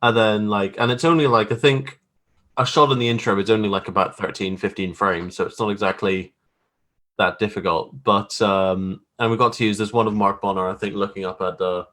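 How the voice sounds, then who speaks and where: A man's voice: fairly low pitch, fairly even intonation, fairly quick, a bit bright. A man chatting casually as an interview guest, informal, wry and self-deprecating about his own work as a graphic artist.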